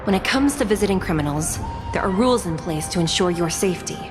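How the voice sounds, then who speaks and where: A woman voices a solemn prison judge for a video game. She is lowish, deliberate and authoritative, with stern formal phrasing. Her words carry hall reverb over soft music. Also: expressive, lightly acted. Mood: stern, explanatory.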